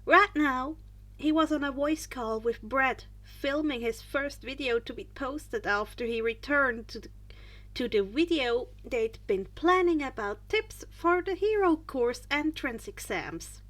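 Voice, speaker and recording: A woman reading fan fiction aloud as an expressive story narrator. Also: high voice, measured pace.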